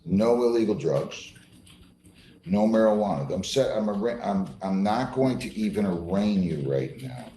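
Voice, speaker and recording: Low, mellow American male judge. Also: expressive, a little slow. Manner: firm, plain-spoken, repeats himself for emphasis. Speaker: judge presiding over a court hearing. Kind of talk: informal spoken courtroom proceedings from the bench. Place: indoor courtroom. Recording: roomy courtroom echo with faint noise.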